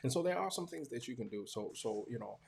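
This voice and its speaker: A Southern American man in his forties with a husky, creaky voice at a middling pitch. He speaks to his audience in an earnest, reflective way, halting and circling back to repeat phrases, like a preacher talking casually. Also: lively intonation. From small-town Georgia, US. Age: 40s. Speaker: preacher hosting a talk show. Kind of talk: casual reflective monologue to viewers.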